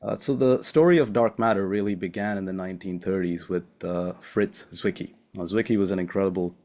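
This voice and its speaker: A young man from Sri Lanka, a postdoc, giving a friendly, casual seminar talk over a remote call, with many filler pauses. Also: low voice, a little mellow. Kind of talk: academic seminar presentation.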